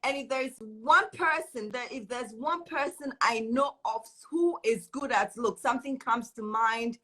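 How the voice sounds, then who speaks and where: A woman hosts a casual live stream with a bright, forceful voice set a little high. She gushes with praise and encouragement and repeats her phrases for emphasis. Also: unhurried, rough recording. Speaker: host of a live online show.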